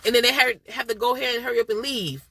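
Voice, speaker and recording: Bright, stuffy-nosed woman in casual chat. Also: on the high side, fairly even intonation, very fast, vocal fry, no echo. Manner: chatty, laughs often, loose slangy talk. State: stuffy from a cold. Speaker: reality TV reviewer.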